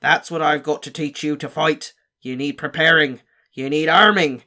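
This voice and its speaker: A man voicing a gruff, fierce old male wizard teacher in an audiobook, barking stern warnings. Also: fairly high pitch, steady tone, moderate pace, bright, forceful tone. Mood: grim, emphatic. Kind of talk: audiobook character voice.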